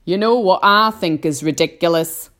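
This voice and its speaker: A woman's voice: lowish voice, animated, measured pace, pitched above the performer's own voice. A woman voicing a rude, rambunctious storybook centipede, brash and mocking. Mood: scornful, mocking.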